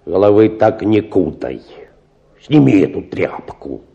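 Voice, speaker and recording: An actor playing a pompous village medical assistant in a radio drama, giving instructions self-importantly. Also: male voice, deep voice, sing-song, measured pace, creaky, lo-fi sound, exaggerated voice. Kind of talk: Russian radio drama acting.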